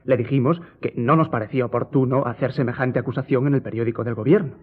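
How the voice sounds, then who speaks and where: A man's voice: mid-range voice, steady tone, fast talker, natural voice. A man playing a complacent, self-assured newspaper editor in a radio drama. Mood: smug, unbothered. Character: smug, unbothered newspaper editor of a government paper. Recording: thin phone-like audio with music.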